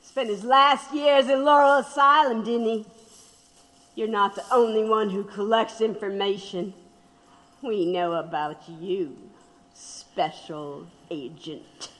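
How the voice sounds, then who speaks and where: A woman's voice: medium pitch, fairly even intonation, slow, fairly bright tone. A woman in an audio drama playing a fierce, defiant revolutionary mother, scornful and combative. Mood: cutting, threatening. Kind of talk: dramatic audio play acting. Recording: long hollow reverb.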